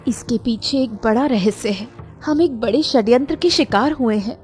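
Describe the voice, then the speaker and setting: A woman playing a serious, determined victim of a plot in a cartoon story, speaking in a measured way. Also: a little high, a little mellow, faint music behind, close to an everyday voice. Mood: grave, resolute.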